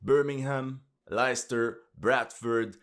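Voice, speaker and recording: A man whose first language is not English, reading a scripted political narration with measured, pointed rhetorical questions. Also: medium pitch, steady tone, fairly bright tone, clear, resonant tone. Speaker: video essayist in played clip.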